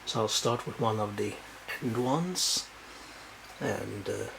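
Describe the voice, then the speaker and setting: A man with a Swedish accent, whose first language is Swedish, casually showing his bookshelves at home and talking in halting bursts with many fillers. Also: lowish voice, vocal fry, background noise. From Sweden. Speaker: book collector showing his shelves. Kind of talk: casual home video walkthrough. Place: home room.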